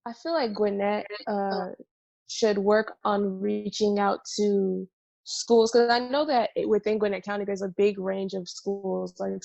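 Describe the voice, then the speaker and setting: A young woman with a low, gravelly texture in her voice answers questions in a dry, close-sounding space. She talks in a flat, even tone and stops often to restart her sentences, with lots of fillers along the way. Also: dry recording. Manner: hesitant, many fillers and restarts. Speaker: university student. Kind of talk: interview answers.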